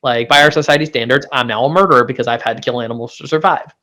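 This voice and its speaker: A man's voice: medium pitch, very fast, sharp tone. A man with a mid-range voice talks through a review in a casual chat. He rambles conversationally and leans on his points with emphasis, like a co-host among friends. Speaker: pop-culture show co-host.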